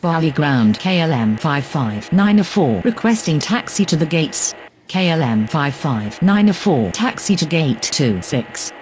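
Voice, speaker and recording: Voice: mid-range voice, big pitch swings, unhurried, a little hiss or hum. A performer playing a composed airline pilot and controller in a game, giving formulaic aviation radio calls. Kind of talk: scripted aviation radio phraseology.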